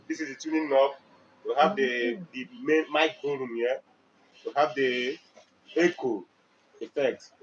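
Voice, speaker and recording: A man with a Nigerian accent presenting a product explainer, emphatic and repetitive, often checking with the listener through questions. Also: mid-range voice. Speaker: music equipment dealer and presenter. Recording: dull lo-fi audio, faint background noise.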